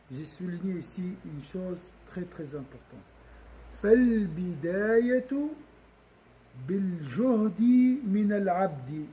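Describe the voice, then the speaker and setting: A man with a high, dark-toned voice leads a religious lesson for a gathering. He often puts questions to his listeners, and he repeats and restarts phrases as he talks. Faint noise runs behind him. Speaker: religious teacher.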